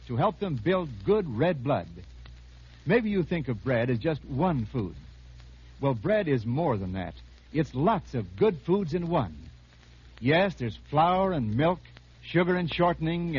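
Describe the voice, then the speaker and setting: A man delivers a scripted 1950s radio advertisement with very lively intonation. His voice is husky and his manner earnest and persuasive. The old recording is dull and lo-fi. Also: on the high side. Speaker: radio announcer reading a commercial.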